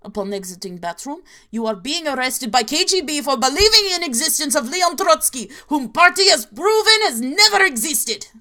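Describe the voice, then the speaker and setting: A woman voicing a grim, deadpan Soviet storyteller in a put-on mock Russian accent with clipped, broken grammar. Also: medium pitch, measured pace, close to an everyday voice. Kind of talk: reading creepy stories aloud.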